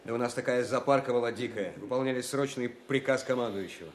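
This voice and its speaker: Casting: male actor as hurried, deferential captain, fast military reporting in radio war drama, echoey room. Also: mid-range voice, natural voice. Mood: defensive. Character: deferential army captain reporting defensively to superior. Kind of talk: Russian wartime audio drama dialogue. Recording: roomy echo, faint background noise.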